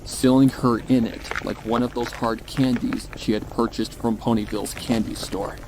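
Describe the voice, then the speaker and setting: A man's voice: mid-range voice, fairly even intonation, moderate pace, very noisy. A man reading scripted horror fan fiction as the narrator. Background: music.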